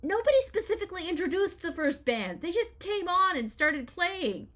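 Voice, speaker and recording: A woman in her thirties with an American accent, reading a scripted first-person essay in an expressive, wry storytelling manner. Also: very high-pitched, moderate pace. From Los Angeles, United States. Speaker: writer reading their own personal essay.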